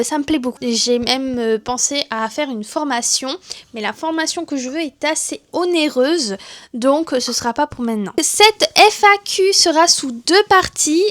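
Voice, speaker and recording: A woman's voice: sits high, fairly bright tone. A woman in her thirties from southwest France, chatting casually to her viewers with many fillers and restarts. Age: 30s. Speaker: vlogger. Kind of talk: casual chat to camera.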